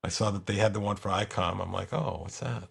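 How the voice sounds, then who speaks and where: A man's voice: deep voice, steady tone, quick pace, creaky, slightly rough. A man speaking as a podcast guest in casual, laid-back and informal chat. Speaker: guest, software developer.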